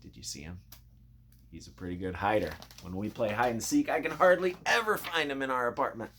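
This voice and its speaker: A man narrating a children's storybook in an expressive, playful way, speaking directly to young listeners. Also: medium pitch, brisk, a little hiss or hum. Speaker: storybook reader.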